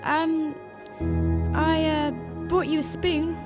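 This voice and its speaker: A woman's voice: high voice, level tone, slow pace, far from the mic. A woman playing a compassionate, troubled homeless shelter volunteer, narrating and speaking in a radio drama. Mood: warm, tentative. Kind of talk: first-person radio drama narration and dialogue. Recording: roomy sound with music behind.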